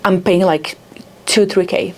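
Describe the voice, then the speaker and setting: A woman's voice: lowish voice, fairly even intonation, measured pace, creaky, a little mellow, slight background noise. A young adult woman from Rio de Janeiro with a Brazilian accent, speaking as a startup founder in a casual interview, with lots of fillers like "like" and "yeah". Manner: chatty, many fillers like "like" and "yeah".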